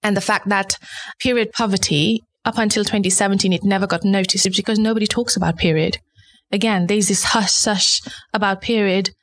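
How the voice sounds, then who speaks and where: A woman's voice: medium pitch, fairly even intonation, fairly quick. A woman from eastern Nepal with a Nepali accent, answering interview questions in an explanatory, outspoken, conversational way. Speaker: interview guest, company founder.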